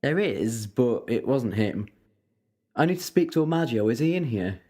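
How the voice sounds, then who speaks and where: A man playing a confident, sharp-tongued time-travelling hero in an audio drama, sardonic and self-assured. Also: mid-range voice, expressive, normal speed, breathy edge. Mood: matter-of-fact. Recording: long hall-like reverb.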